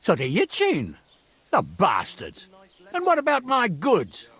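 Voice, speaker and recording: A man's voice: high voice, slow, slightly rough, full character voice. An actor playing a bossy, hot-tempered medieval man in command, in a video game. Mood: indignant. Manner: imperious, animated, commanding.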